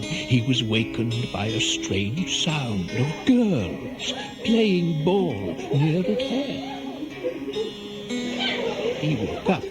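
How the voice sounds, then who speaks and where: A male narrator tells a tale in 1970s radio drama style over prominent music. His pace is slow and his mid-range voice moves widely with dramatic, deliberate phrasing. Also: fairly high pitch. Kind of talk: scripted storytelling narration for a 1970s radio play.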